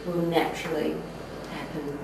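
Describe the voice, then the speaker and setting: A woman's voice: low voice, flat delivery, normal speed. A woman who teaches yoga, speaking reflectively in a group discussion. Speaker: experienced yoga teacher in training session. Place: echoey indoor room, from a distance. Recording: very echoey room, distant mic, clear noise.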